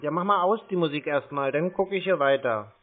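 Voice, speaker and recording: A man on a phone call, slow and muddled, stubbornly complaining to the caller. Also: fairly high pitch, soft background music. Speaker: call recipient stringing scammers along. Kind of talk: prank phone call with scammers. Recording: tinny, band-limited phone line.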